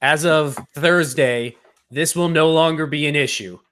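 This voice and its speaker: A man's voice: on the high side, a little slow, a bit bright. A man on a podcast panel, making announcements and joking emphatically. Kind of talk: casual chat.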